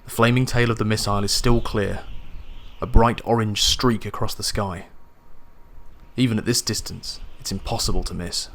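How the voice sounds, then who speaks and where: A man reading a first-person science fiction horror story in a measured, dramatic storytelling manner. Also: on the low side, steady tone, fairly bright tone, slight background noise. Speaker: audiobook narrator. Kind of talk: scripted first-person sci-fi horror narration. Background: atmospheric music and sound effects.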